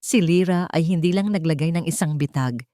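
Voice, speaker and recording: A woman narrates a dramatic tale in a fairly low voice. The recording is dry and close, with scripted, storybook delivery. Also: fairly quick, warm tone, no echo.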